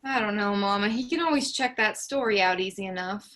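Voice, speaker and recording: A woman reading a patient, gently teasing grown daughter in a staged play reading. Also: medium pitch, fairly quick, natural voice. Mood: gentle. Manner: soft, easygoing, gently teasing.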